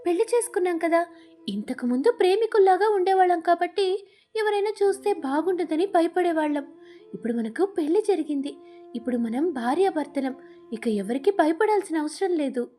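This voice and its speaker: A woman voicing a friendly, caring young female parrot who chats in a sweet, coaxing way in an animated storybook. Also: very high-pitched, brisk, voice pushed up. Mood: reassuring, coaxing.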